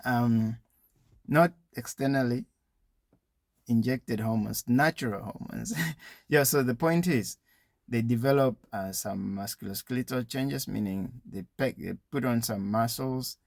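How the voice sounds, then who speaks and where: A man's voice: medium pitch, a little slow, gravelly, darkish tone, no room sound, clean studio sound. A man giving an informal, educational talk with advice for young people, explaining things conversationally with frequent small asides.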